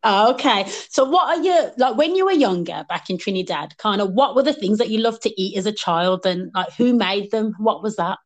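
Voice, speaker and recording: A woman hosting a casual food podcast. She reacts warmly and chats freely, her pitch swinging widely as she talks. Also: animated. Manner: warm, encouraging listener with quick reactions. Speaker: podcast host. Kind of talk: casual interview chat.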